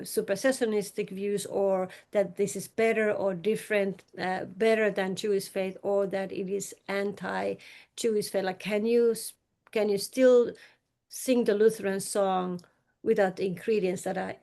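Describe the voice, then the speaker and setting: Finnish-accented woman scholar, thoughtful and halting. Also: medium pitch, little pitch movement, gravelly, a little mellow. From Finland. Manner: thoughtful, halting, frequent restarts, light laughs. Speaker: scholar and teacher. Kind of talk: academic podcast discussion.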